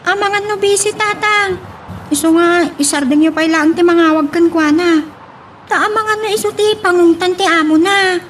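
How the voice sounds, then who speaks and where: A woman's voice: extremely high pitch, fairly even intonation, moderate pace, a little hiss or hum. A woman with an Ilocano Filipino accent playing a young, dutiful, soothing student daughter in an audio drama. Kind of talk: Ilocano radio-style drama acting.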